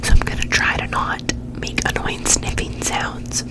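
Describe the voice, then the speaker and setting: Young female ASMR whisperer, congested and nasal, slow and breathy, right on the mic. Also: poor audio. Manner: soft rambling whisper, playfully repeats words. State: stuffy and nasal from a cold, sore throat. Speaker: ASMR creator. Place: home bedroom with dim warm lamp. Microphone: very close sensitive mic, gain turned up high.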